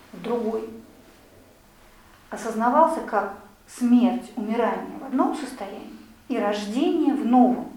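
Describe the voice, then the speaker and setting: A woman's voice: fairly high pitch, a little mellow. A woman giving a lecture and answering questions, talking in an explanatory, conversational way with many fillers. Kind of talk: lecture with audience discussion. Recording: echoey room, voice from far off.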